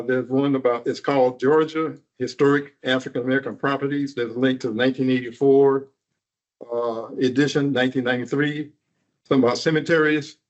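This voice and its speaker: A man from the hosting society chimes in with matter-of-fact pointers, using uh fillers as he goes. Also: medium pitch. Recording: dull, muffled lo-fi sound.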